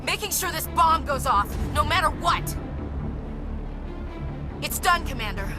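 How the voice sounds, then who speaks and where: An actress playing a defiant, dutiful soldier in a video game, with a forceful, clipped military delivery. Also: female voice, high-pitched, expressive, a little slow. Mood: defiant, urgent. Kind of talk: dramatic video game acting. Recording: loud game music behind voice.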